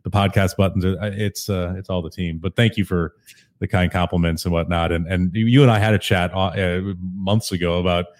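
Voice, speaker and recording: A man with a deep, calm voice chatting casually on a podcast, relaxed and self-deprecating. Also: brisk. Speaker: podcast host and guest.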